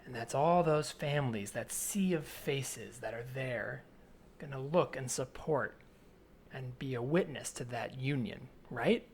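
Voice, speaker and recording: A man improvising an earnest, obsessive man in his twenties in a naturalistic comedy scene, speaking haltingly with pleading intensity. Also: medium pitch, natural voice. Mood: insistent. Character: earnest obsessive 27-year-old man haunted by flip-flops. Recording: remote recording with added ambient soundscape noise.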